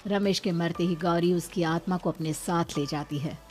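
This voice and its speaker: A woman's voice: fairly low pitch, fairly even intonation, normal speed, darkish tone. Woman narrating dramatically over prominent music. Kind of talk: dramatic scripted story narration.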